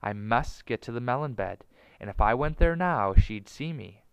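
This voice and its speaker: A man voicing an impatient male mongoose hero in a storybook, brisk and commanding. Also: medium pitch, expressive, gravelly, clear, resonant tone, no room sound. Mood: urgent scheming. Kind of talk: storybook character voice.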